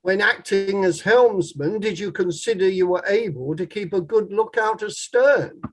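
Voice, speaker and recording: A methodical inquiry lawyer played by a man in a play reading with a notably high-pitched voice for a male. He questions formally and precisely, probing point by point. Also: measured pace. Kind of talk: table read of an inquiry play.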